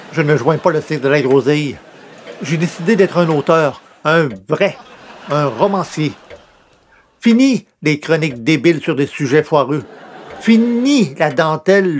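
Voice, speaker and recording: Man acting a pompous aspiring novelist, highish voice, theatrical radio comedy delivery, easily exasperated, faint noise behind. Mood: boastful. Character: grandiose, exasperated male newspaper columnist turned would-be novelist. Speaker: lead character of the comedy series.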